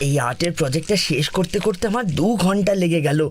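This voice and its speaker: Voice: medium pitch, fast, lightly acted. A performer voicing a bossy, complaining older brother, a schoolboy, in a cartoon dub. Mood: self-satisfied. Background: cartoon music.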